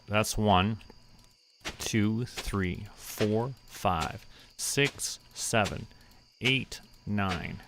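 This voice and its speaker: A young man streaming a farming game, relaxed, muttering to himself and counting aloud. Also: lowish voice, background noise. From Canada. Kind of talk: relaxed gameplay commentary.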